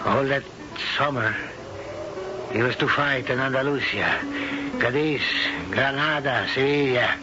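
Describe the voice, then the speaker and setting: An actor playing a brooding older nobleman, speaking slowly and with passion in a 1970s radio drama. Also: mid-range voice. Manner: impassioned, slow and heavy. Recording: dull old radio broadcast with music.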